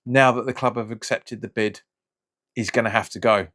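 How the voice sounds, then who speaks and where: Frustrated football fan, slightly raspy. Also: male voice, fairly low pitch, steady tone, normal speed, a bit bright. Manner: frustrated, rambling with restarts. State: hoarse from hay fever. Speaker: football fan channel host. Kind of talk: casual reaction vlog.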